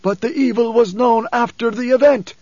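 Man voicing an anguished, high-pitched old blind prophet. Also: steady tone, normal speed, darkish tone. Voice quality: husky, trembling. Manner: solemn, dramatic, formal speech. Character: anguished older blind father, weary desert prophet. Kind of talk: audiobook character acting. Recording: dull cassette audiobook, roomy echo.

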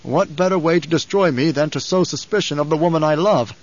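A man's voice: a little high, fairly quick, highs cut off, voice pushed up, lightly acted. A man voicing a proud, stern and weary nobleman in an audiobook, with an authoritative, clipped delivery. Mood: brooding.

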